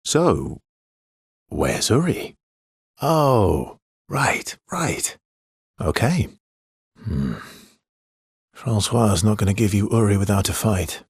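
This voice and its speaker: A man voicing a wry, guarded adventurer with a soft heart in a video game, gravelly and halting, with a teasing way of talking. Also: on the low side, sing-song, no echo, studio quality, exaggerated voice. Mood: hesitant. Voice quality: husky, gravelly. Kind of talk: naturalistic game dialogue acting.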